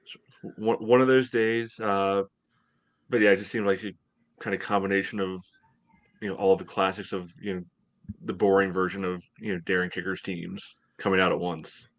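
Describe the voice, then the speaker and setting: An American man in his thirties hosting a casual sports podcast over a video call, speaking haltingly with lots of "you know". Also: deep voice, level tone. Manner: hesitant, halting delivery, heavy use of "you know". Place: home room, indoors, on a video call. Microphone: headset on video call.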